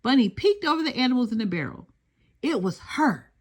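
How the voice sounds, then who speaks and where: An older woman reading a children's story aloud in an expressive storytelling style. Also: a little high, a little fast.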